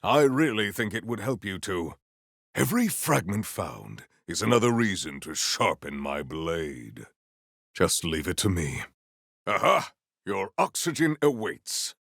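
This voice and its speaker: A stern, honour-bound male warrior from a video game. He speaks slowly in formal, archaic phrases with dramatic, widely swinging intonation and dry wit. The voice has a gravelly edge and sounds close and dry. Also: low-pitched, very animated, fairly bright tone, dry recording, heavily acted. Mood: dryly amused. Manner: formal, archaic, theatrical diction. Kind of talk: video game character voice lines.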